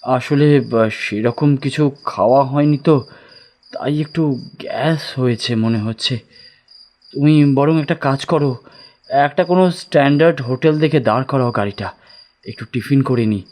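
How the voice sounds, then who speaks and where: A man's voice: medium pitch, moderate pace, a little mellow, close to an everyday voice. A man playing a frightened, guilty writer in a horror audio drama, hesitant and stammering as he speaks. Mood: shaken, evasive. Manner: stammering, hesitant, breaking off words. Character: frightened, guilty famous male writer, evasive and pleading.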